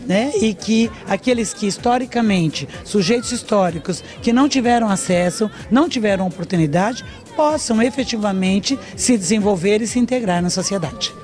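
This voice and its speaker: An older woman sociologist answering interview questions in a measured, lecturing way, into a handheld reporter mic in a large meeting hall. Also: mid-range voice, darkish tone, soft background music. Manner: measured, lecturing, frequent "né?" tags.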